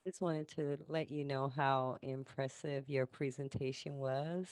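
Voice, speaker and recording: A man's voice: mid-range voice, steady tone, unhurried, smooth, no room sound. A man in the audience of an online conference, thanking the speaker appreciatively, with many small fillers. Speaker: audience member. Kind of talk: conference Q&A remark. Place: online conference call, New Orleans.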